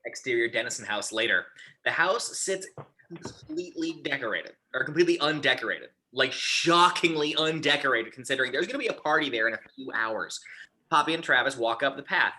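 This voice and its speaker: A man's voice: mid-range voice, animated, fairly quick, bright, forceful tone. A man narrating the scene headings and action lines of a screenplay at an informal table read. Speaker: narrator of stage directions.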